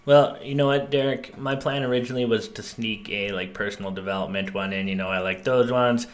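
A man's voice: lowish voice, steady tone, a little fast. A young man co-hosting a casual podcast, talking in an upbeat, joking way. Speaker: podcast co-host.

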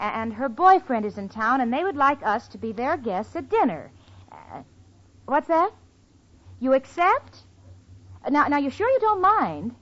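Exasperated, wry young American roommate: lively swooping delivery, vintage radio comedy, muffled sound. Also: female voice, high voice, exaggerated voice. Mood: polite, hesitant. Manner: wry, sarcastic, emphatic. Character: quick-witted, exasperated young single woman, sitcom roommate. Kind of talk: 1940s-50s radio comedy acting. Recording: dull old-time radio recording.

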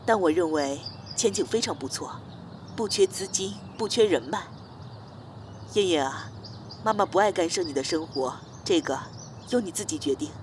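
A woman acting in an audio drama as a gentle, considerate middle-aged mother, speaking in a measured, soft manner. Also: lowish voice, expressive, slight background noise, close to an everyday voice. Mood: gentle, tactful.

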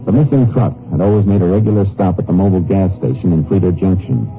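An actor playing a steady, businesslike Texas Ranger in a 1950s radio drama, terse and matter-of-fact as he talks and narrates. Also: sits low, quick pace. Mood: sober narration. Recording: dull old radio recording with music.

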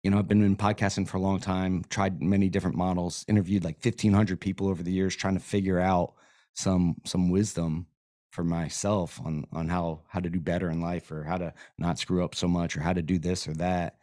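Deep-voiced man, a bit hoarse. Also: little pitch movement, fairly quick, mellow, no room sound, clean studio sound. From Florida. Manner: easygoing, rambling, says "man" often. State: losing his voice. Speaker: podcast guest. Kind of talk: casual interview chat. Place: podcast studio.

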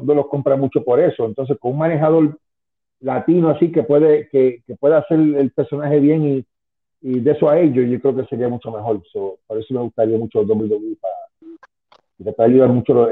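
A man's voice: mid-range voice, steady tone, a little fast. A man in his fifties, an interview guest who rambles with many restarts and asides. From Spanish-speaking, moved to the US at ten. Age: 50s. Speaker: guest, former wrestling manager. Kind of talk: casual interview on a call. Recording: tinny phone line.

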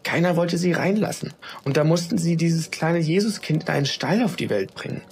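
Brief: eager little boy, put on by a male narrator, raised and bright, earnest storybook kid. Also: on the high side, lively intonation, brisk, slight background noise, voice pushed up. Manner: pleading, earnest explaining. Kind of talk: storybook read-aloud.

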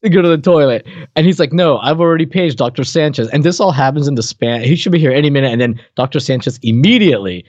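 A man's voice: a little high, fast talker, few pauses, darkish tone, no echo. A man co-hosting a podcast in casual chat, eagerly jumping in and restarting his sentences. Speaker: podcast co-host. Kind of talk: casual chat recapping a comedy show. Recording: dry, slightly dull podcast audio.

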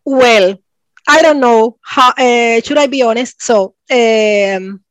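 A woman's voice: a little high, slow, no echo. Cuban woman, Spanish-accented English. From Cuba. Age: 40s. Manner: searching for words, laughing often. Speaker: guest. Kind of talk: interview conversation.

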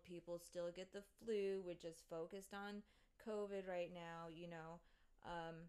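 Exasperated swearing young woman ranting. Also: on the low side. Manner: exasperated, profane, sarcastic. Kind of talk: casual ranting monologue.

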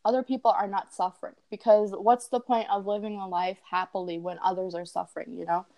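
A woman's voice: medium pitch, fairly even intonation, a little fast, mellow. A woman in a casual conversation, speaking thoughtfully with hesitant fillers.

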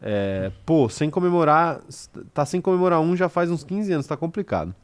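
A man's voice: on the high side, normal speed, smooth. A young man from the interior of São Paulo with its accent, co-hosting a casual live stream, reading chat comments and joking with slang. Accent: Brazilian Portuguese, São Paulo interior. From interior of São Paulo state, Brazil. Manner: animated, bursts into laughter, slangy.

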